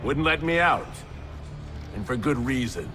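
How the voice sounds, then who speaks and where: A cocky, hard-edged hired killer voiced by a man in a video game. His mid-pitched voice moves in lively, sardonic turns, bitter and threatening, with music playing behind. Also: measured pace, natural voice. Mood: sardonic threat. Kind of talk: video game character acting.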